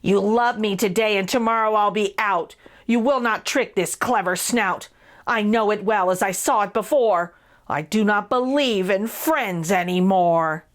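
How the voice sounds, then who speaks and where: A woman voicing a cynical, world-weary male street mouse in a picture book, gruff and guarded. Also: mid-range voice, unhurried, close to an everyday voice. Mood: distrustful.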